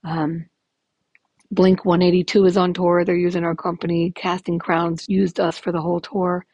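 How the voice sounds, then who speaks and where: A woman in her fifties with a raspy, gravelly, hoarse voice, talking candidly and rambling with lots of ums in a casual podcast update. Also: fairly low pitch, level tone, moderate pace, a little mellow, dry recording. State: hoarse from illness. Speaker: podcast host.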